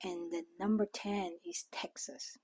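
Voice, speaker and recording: An older woman with a Filipino accent, native in Tagalog, speaks slowly in an informal vlog style. Her voice is mellow and somewhat husky. She explains things in a halting way, recorded close and dry. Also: fairly low pitch, steady tone, dry recording. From Philippines. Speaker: vlogger. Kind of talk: informal talk to camera.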